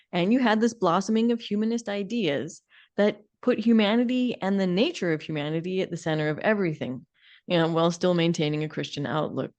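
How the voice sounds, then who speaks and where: A woman's voice: on the low side, a little mellow. A woman co-hosting a podcast in casual discussion, musing wryly and often breaking off to rephrase her thoughts. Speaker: podcast co-host.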